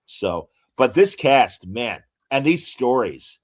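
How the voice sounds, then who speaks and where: A man co-hosting a casual podcast, reading out actor credits with frequent hesitant pauses and showman asides to the audience. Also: mid-range voice, big pitch swings. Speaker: podcast co-host.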